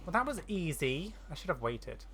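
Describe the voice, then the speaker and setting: Casting: female game streamer, very deep voice, lively intonation, casual, muttered play-by-play reactions. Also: moderate pace. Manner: muttering reactions, repeats phrases. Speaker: gaming streamer. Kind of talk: casual gameplay commentary.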